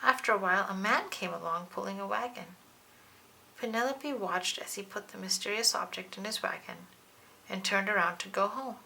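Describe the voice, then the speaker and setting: A woman's voice: mid-range voice, moderate pace, gravelly, pushed and bright, rough recording. A woman reading a children's storybook aloud, narrating expressively and dramatically. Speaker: children's book reader.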